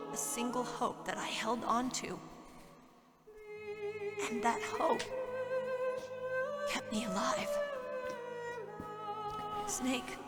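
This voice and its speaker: Under loud music and in a long reverb, a brave young woman soldier in a game drama. Her voice swings widely and moves slowly, halting with tender emotion. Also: a little high, exaggerated voice. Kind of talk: dramatic video game cutscene acting.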